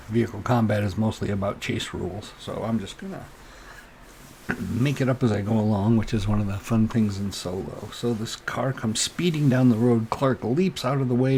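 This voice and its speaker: A man narrating a solo tabletop role-playing game, improvising haltingly and thinking aloud as game master. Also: mid-range voice, creaky, a little mellow, a little hiss or hum.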